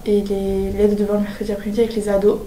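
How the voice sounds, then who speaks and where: A woman's voice: mid-range voice, completely flat delivery, rapid-fire, warm tone. An eighteen-year-old woman, a civic service volunteer, speaking hesitantly and repeating herself. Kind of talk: personal testimonial. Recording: roomy distant recording.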